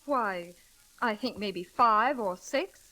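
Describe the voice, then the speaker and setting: A woman's voice: medium pitch, lively intonation, slow pace, sharp tone. An actress playing a puzzled woman in a scripted 1930s radio advertisement, on a crackly old broadcast.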